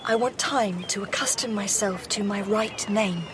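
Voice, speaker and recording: A woman playing a determined young Victorian wife in a radio drama, earnest formal phrasing and hushed asides. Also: mid-range voice, unhurried, background noise, lightly acted. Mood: pleading, insistent. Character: determined, questioning young Victorian wife turned amateur detective. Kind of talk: radio drama acting with hushed first-person narration.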